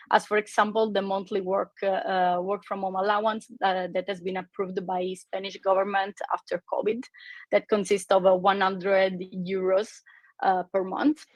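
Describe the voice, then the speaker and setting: A woman's voice: mid-range voice, no room sound. A woman from Italy with an Italian accent, presenting her company in a webinar over a video call, with many fillers and restarts. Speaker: company representative on a webinar panel.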